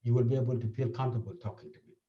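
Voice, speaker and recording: A man's voice: medium pitch, steady tone, racing. A man from South Sudan with a South Sudanese accent, speaking as a therapist in an interview and explaining his points earnestly, often repeating them. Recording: dull, echoey, from a distance.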